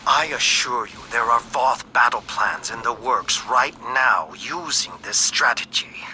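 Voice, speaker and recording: An actor playing an anxious, principled reptilian alien scientist in a science fiction game, speaking solemnly and measuredly, sometimes dropping to a hush. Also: male voice, medium pitch, slight background noise, rough recording. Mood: urgent, grave. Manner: solemn, measured, hushed and breathy at times. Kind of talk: dramatic recorded message in a science fiction game.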